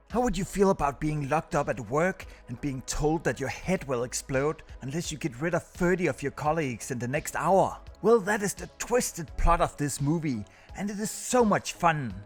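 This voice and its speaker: A man with a fairly high voice hosts a scripted countdown review. He narrates as a video presenter, with music playing underneath. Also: animated, moderate pace.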